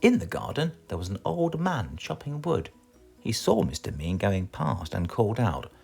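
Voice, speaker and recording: A British man reads a children's tale in a low, husky voice. His pitch swings widely as he performs the narration with theatrical flourish. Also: normal speed, soft background music. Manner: theatrical, expressive delivery. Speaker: storyteller reading aloud. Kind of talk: animated children's storybook narration.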